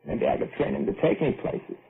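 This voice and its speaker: A man's voice: brisk, close to an everyday voice. An actor playing an idle, folksy young American working man who daydreams in colloquial speech, in an old-time radio drama. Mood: dreamy musing. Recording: tinny, band-limited old radio recording with music.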